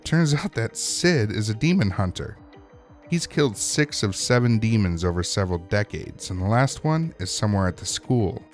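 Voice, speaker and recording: A man's voice: lowish voice, expressive, moderate pace, soft background music. A man hosting a scripted recap and review of a TV episode, narrating in a wry, opinionated way. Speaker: host of a TV episode recap show.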